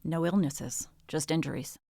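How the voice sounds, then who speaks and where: A woman's voice: deep voice, moderate pace, dark tone, dry recording, studio quality. A woman playing a sceptical state police detective in an audio drama, terse and probing. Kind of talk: dramatic podcast acting.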